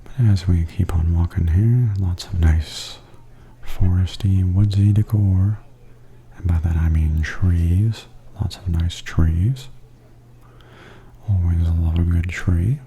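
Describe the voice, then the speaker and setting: A man giving soft-spoken, hushed and often whispery ASMR commentary as a video host. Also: low-pitched, gravelly. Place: voice-over added after filming.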